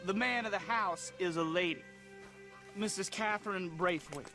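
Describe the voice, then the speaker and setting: An actor playing a terse, guarded armed plantation guard in a western video game. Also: male voice, sits high, moderate pace, music in the background. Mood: wary. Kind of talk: video game cutscene acting.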